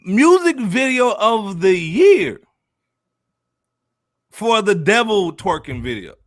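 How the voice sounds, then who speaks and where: A man's voice: high voice, big pitch swings, slow, no echo, clean studio sound. A man hosting a casual panel chat, speaking bluntly and full of slang. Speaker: podcast host.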